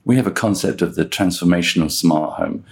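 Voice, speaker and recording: A man's voice: sits low, fairly quick. A man hosting a podcast interview, asking long framing questions as a research director. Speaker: guest host, research director.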